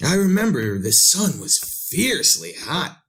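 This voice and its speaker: An actor playing an obsessed, bewildered man in a retro radio drama, with halting, melodramatic delivery. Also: on the high side, big pitch swings, fairly bright tone, full character voice. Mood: haunted, uneasy. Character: obsessed, bewildered man craving knowledge of the future.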